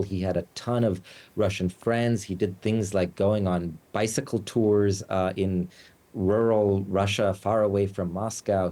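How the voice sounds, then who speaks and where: A man from the United States, a journalist giving news interview answers, speaking haltingly with many fillers and restarts. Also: sits low, dark tone. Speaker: journalist and Moscow bureau chief, guest.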